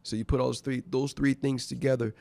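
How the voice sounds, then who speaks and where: A man's voice: mid-range voice, little pitch movement, brisk, gravelly, warm tone. A congested man hosting a radio show, giving enthusiastic, rambling thank-yous in casual chat. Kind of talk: casual radio shout-outs. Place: radio studio.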